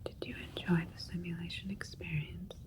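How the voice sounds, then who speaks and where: A woman playing a calm, caring alien doctor in an ASMR roleplay, speaking in a soft breathy whisper with a gentle, clinical, reassuring manner. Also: lowish voice, brisk, close to an everyday voice. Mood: gentle, polite.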